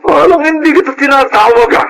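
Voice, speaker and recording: A man's voice: very high-pitched, a little fast, creaky, a bit bright, slight background noise, heavily acted, rough recording. An actor playing a callous, hot-tempered middle-aged Filipino father in a radio drama, scornful and boastful. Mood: irritated, demanding.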